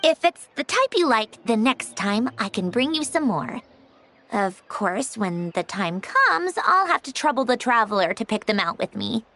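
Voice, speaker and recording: A woman's voice: a little high, sing-song, unhurried, bright, forceful tone, full character voice. An actress playing a polite, earnest young woman in a video game, speaking with formal courtesy. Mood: pleasant.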